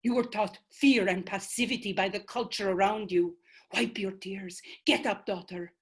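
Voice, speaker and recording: A woman's voice: medium pitch, fairly even intonation, normal speed, husky. A woman voicing the fierce spirit of an ancient female ancestor, stern and commanding in a one-woman stage monologue. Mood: urging.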